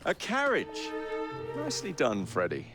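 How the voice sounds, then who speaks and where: A man's voice: a little high, wildly swooping pitch, measured pace, over loud music, exaggerated voice. A man playing a roguish young Victorian assassin with an English accent and a wry, laconic manner in a game cutscene. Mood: pleased. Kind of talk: natural dramatic game cutscene acting.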